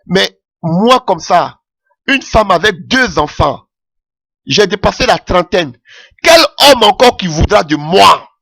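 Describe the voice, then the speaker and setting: A man's voice: lively intonation, takes it slowly, vocal fry, long pauses, a bit bright, no echo, studio quality. A man preaching a sermon with passion, calling out alleluia often as his voice rises. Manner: impassioned, rising pitch with frequent alleluias.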